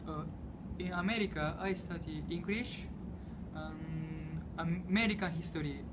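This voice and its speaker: A young man from Japan, speaking English with a Japanese accent as a second language, answering interview questions slowly with many pauses and fillers. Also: fairly high pitch. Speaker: student interviewee. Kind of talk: casual interview. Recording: noisy background.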